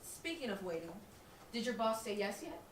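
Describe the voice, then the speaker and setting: A woman's voice: mid-range voice, sing-song, moderate pace, natural voice. A young woman playing a sarcastic, dramatic aspiring designer in a comedic play, with a theatrical, teasing delivery. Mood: pleading, exasperated. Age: young adult. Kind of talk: comedic stage acting. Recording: echoey room, distant mic, background hiss.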